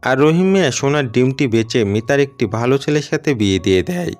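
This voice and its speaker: A man's voice: mid-range voice, animated, fairly quick, a little mellow, soft background music. A man narrating a scripted cartoon story as a storyteller.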